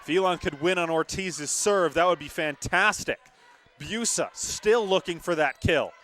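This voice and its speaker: High-pitched young male volleyball play-by-play announcer. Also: moderate pace, a bit bright. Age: young adult. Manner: emphatic, dramatic calls. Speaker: play-by-play sportscaster. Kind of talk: live volleyball commentary. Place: courtside in a gymnasium.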